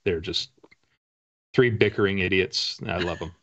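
A man's voice: low-pitched, measured pace, a bit bright. An American man in his forties chatting casually as an interview guest, with wry, self-deprecating humor. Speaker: author guest. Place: small home room lined with bookshelves. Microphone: video call, at a desk. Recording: slightly muffled video call audio.

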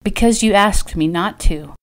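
A woman's voice: medium pitch, animated, moderate pace, darkish tone, natural voice. A woman narrator voicing a spirited, anxious young heroine in a Western romance, outspoken and emphatic. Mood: cheerful.

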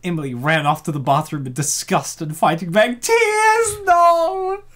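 A man's voice: high voice, unhurried. Guy reading a comic dub, jokey, laughs a lot, voice jumps all over. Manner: joking, laughs often, animated. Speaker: comic dub narrator and commentator. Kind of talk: narration with joking commentary.

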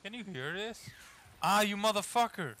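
A man's voice: high-pitched, lively intonation, normal speed, sharp tone. A man whose first language is not English, casually commenting while playing a game, swearing a lot and stumbling when reading aloud. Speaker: gamer playing through a game. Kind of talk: casual let's play commentary. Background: game music.

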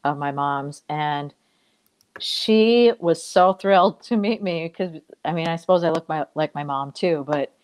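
A woman's voice: deep voice, a little slow. A middle-aged woman, a guest on a video call, telling family stories in a rambling, reflective way from a room at home. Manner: warm, rambling, reflective storytelling. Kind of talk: casual storytelling in an interview. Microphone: webcam on a video call.

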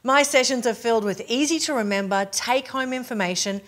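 A woman in her forties with an Australian accent, giving a polished, upbeat scripted pitch straight to camera from a living room set. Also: a little fast, fairly bright tone. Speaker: presenter promoting her keynote talks.

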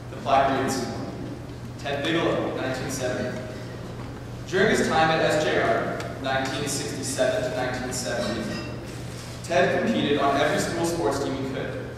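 Young man, ceremony host, reading from notes, distant mic, long hall reverb. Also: fairly high pitch. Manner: reads from notes at a lectern, steady with "uh" fillers. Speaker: master of ceremonies. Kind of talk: formal ceremony speech, reading plaques. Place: large hall, at a lectern. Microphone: lectern mic from a far camera. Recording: echoey hall from far off with background noise.

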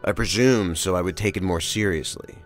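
A man's voice: low-pitched, a little fast, soft background music, close to an everyday voice. An actor playing a weary, wry career crime agent in an audio drama, dry and guarded in his talk.

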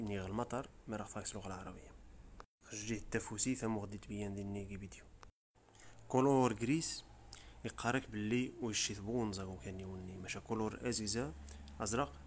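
Riffian man, lowish voice, quick informal explainer narration, tutorial voice-over. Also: slight background noise. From Rif region of Morocco. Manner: brisk, informal, step-by-step explaining. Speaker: tutorial presenter. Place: voice-over, not the pictured scenes.

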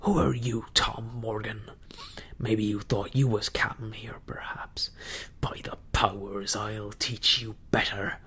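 Man voicing a menacing old pirate, gravelly, husky, low hushed growl, slow. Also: level tone, exaggerated voice. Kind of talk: audiobook reading.

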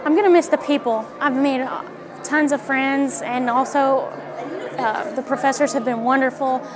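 A young woman with a high-pitched voice gives an interview as a graduate. There is clear music in the background. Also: fairly even intonation, normal speed, crowd noise. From Mississippi, USA. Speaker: law school graduate. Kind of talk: interview testimonial. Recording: music behind the voice.

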